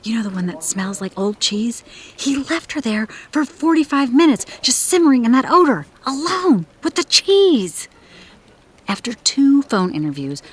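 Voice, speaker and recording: A woman's voice: fairly high pitch, breathy edge. An actress playing a chatty, dramatic female office worker with effusive, slangy gossip. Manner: effusive, speaks in hashtags. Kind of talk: audio drama dialogue.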